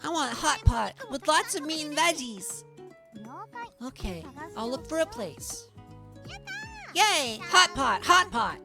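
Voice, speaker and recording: A high, childlike anime animal girl, acted by a woman, speaking visual novel dialogue. She is cute, playful and affectionate toward her friend, with clearly audible game music underneath. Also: expressive, takes it slowly, pauses often, full character voice. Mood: excited.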